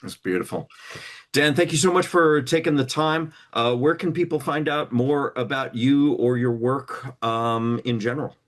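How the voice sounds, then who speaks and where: A man's voice: medium pitch, moderate pace. A man hosting a casual interview in an informal, affirming way. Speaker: interview host.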